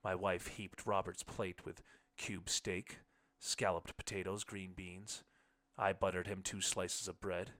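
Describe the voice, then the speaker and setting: A man narrating a literary short story in the first person, with measured phrasing. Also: deep voice, little pitch movement, a bit bright. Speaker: audiobook narrator.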